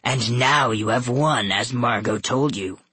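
A man voicing a monstrous, bloodthirsty wolf-like villain in an audiobook, with a deep growl and a commanding, boastful manner. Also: bright, forceful tone, breathy edge, no room sound, full character voice. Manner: commanding, slow, menacing.

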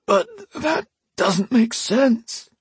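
A man voicing a wounded, delirious young man, raspy and out of breath with pain. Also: very high voice, sing-song, very slow, no room sound, pitched above the performer's own voice, heavily acted. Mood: desperate. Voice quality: raspy, wheezing, strained.

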